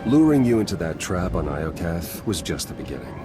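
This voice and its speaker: A cold turncoat agent in a sci-fi game. His extremely deep voice is grim and measured, bitter with conviction, over dramatic music. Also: very animated. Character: male traitor spy, bitter, coldly determined former ally. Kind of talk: cinematic video game voice acting.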